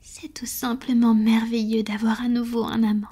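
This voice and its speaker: A woman's voice: fairly high pitch, level tone, moderate pace, warm tone, slightly rough. A woman playing a tender, vulnerable vampire lover in an intimate, whispered ASMR roleplay, speaking breathily and hesitantly. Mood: tender, wistful.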